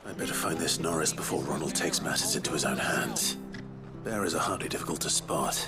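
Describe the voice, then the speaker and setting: A man playing a brooding, terse young hero in a video game, speaking in a measured, hushed way. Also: rumbling low, lively intonation, vocal fry, loud music behind the voice, exaggerated voice. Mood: grave, pensive. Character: brooding young man, bearer and reluctant helper.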